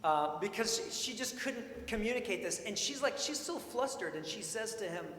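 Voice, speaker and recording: A man preaching a sermon in an informal, expressive way, with storytelling and questions repeated for emphasis. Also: high voice, moderate pace. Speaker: preacher, priest. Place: large indoor church sanctuary. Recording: echoey church hall, long reverb, faint noise.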